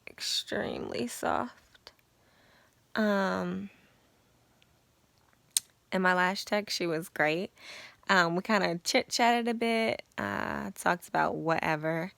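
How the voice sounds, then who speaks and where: A young woman vlogging about her beauty routine in a casual, chatty way, with a raspy voice and lots of fillers. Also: mid-range voice, takes it slowly, pauses often, no echo. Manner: chatty, relaxed and informal, with many fillers. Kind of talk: casual chat to camera.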